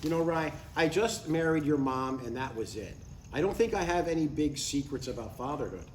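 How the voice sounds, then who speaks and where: A man's voice: on the high side, lively intonation, brisk, a little mellow, lightly acted. An older man playing a blunt, devout father in a live comedy table read, matter-of-fact and mildly exasperated. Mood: stern, blunt. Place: live reading in a room. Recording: roomy, distant live recording with faint noise.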